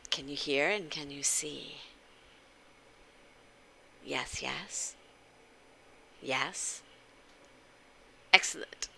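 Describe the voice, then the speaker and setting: A woman's voice: sits low, extremely slow, vocal fry, long pauses, bright, forceful tone, a little hiss or hum. A woman hosting a livestream in casual, informal chat, addressing viewers directly and often asking them questions. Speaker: livestream host. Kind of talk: casual interactive game stream chat.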